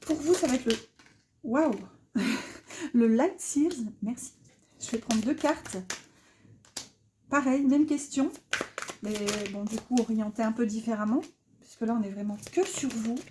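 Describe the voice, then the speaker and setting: A woman's voice: fairly high pitch, unhurried, long pauses, a little mellow, slight background noise. A middle-aged woman giving a casual tarot reading, chatty and rambling, with many fillers and restarts. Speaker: tarot reader.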